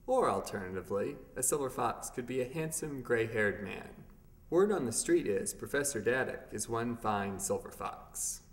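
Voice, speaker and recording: A young man hosting a scripted comedic word segment in a mock-formal announcer manner. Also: mid-range voice. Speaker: segment host. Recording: distant mic under loud music. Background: loud brassy music.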